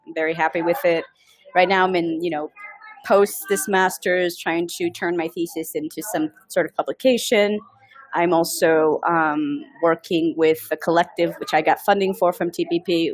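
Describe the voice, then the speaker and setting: A woman casually introducing herself on an online call in a chatty, rambling way. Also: on the low side. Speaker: nonprofit team member.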